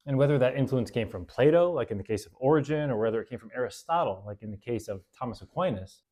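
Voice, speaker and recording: Brief: male host, relaxed Bible study teacher, chatty with fillers, reads verses slowly. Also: medium pitch. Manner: relaxed, conversational, with fillers and self-corrections. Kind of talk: casual Bible study talk.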